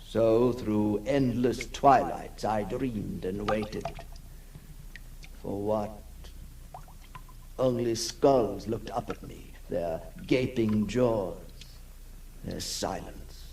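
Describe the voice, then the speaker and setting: An actor playing a haunted, lonely male outcast in a halting, theatrical 1960s radio drama monologue, intense and tormented. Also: fairly low pitch, gravelly, a little mellow, heavily acted. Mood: desolate. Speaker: actor narrating as the character. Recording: noisy 1960s radio recording.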